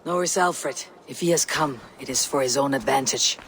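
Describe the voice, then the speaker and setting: A man voicing a blunt, wary Viking warrior leader in a video game, with a husky voice. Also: medium pitch, normal speed, fairly bright tone, long reverb tail, slight background noise, lightly acted. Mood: wary, measured. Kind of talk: video game cutscene acting.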